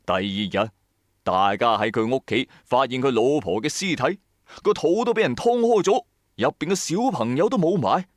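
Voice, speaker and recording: A man's voice: mid-range voice, expressive, normal speed, no room sound, studio quality. A man with a Cantonese accent narrates a first-person ghost story in a colloquial, dramatic, suspenseful way. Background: music.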